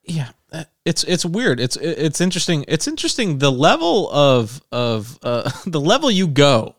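A man's voice: a little high, big pitch swings, moderate pace, dry recording, clean studio sound. A man co-hosting a casual podcast chat, laid-back and teasing. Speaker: podcast co-host.

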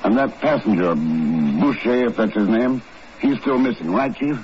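Low-voiced, determined secret agent. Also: male voice, animated, measured pace. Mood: pressing, determined. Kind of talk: 1950s radio drama acting. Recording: muffled, faintly noisy 1950s radio recording.

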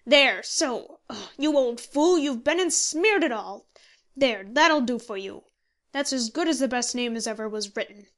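A woman voicing a scheming, impatient old male pensioner who bullies in forceful, rustic speech for an audiobook story. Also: on the high side, fast talker, hardly any pauses, close to an everyday voice. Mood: angry, bullying.

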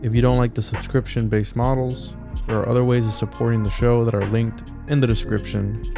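A man's voice: medium pitch, level tone, fairly quick, gravelly, music in the background. A man hosting a podcast, talking casually to his listeners and guest. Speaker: podcast host.

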